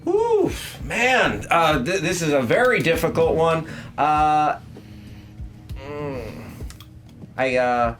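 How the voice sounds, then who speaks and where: A man's voice: on the high side, sing-song, takes it slowly, long pauses, a bit bright, soft background music. A man hosting and judging a movie debate show in a casual, unscripted way, weighing his words with some hesitation.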